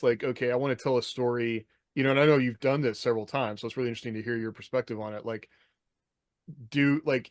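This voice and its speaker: A man's voice: mid-range voice, dry recording, clean studio sound. A man in a casual podcast discussion, reflective and thinking aloud as a co-host. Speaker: podcast co-host, military veteran. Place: indoors, video call.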